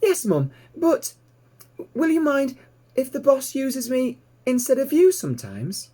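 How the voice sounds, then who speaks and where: A man's voice: extremely high pitch, wildly swooping pitch, measured pace, mellow, voice pushed up, full character voice. A man voicing an eager, polite young male piglet with a childlike, earnest manner in a storybook reading. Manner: courteous, earnest, pleading.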